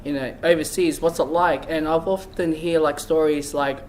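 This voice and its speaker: A man's voice: fairly high pitch, fairly even intonation, normal speed, a little mellow, a little hiss or hum, low-quality recording. A young man hosting a casual talk show on a couch, asking questions with lots of "like" and "you know", recorded indoors on a camera mic a few feet away. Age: young adult. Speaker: host. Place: small room indoors, seated on a couch.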